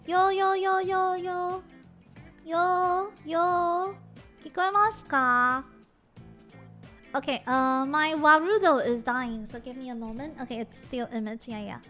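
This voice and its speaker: A woman's voice: high voice, slow, pushed and bright, soft background music. A woman streamer chatting casually and giggling, switching between English and Japanese. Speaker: VTuber streamer. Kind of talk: casual live-stream chat.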